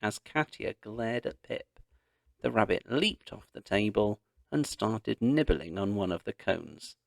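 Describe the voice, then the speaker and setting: Male children's audiobook narrator, low and slow, expressive and dramatic. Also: gravelly, no room sound, clean studio sound. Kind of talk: expressive children's story read-aloud.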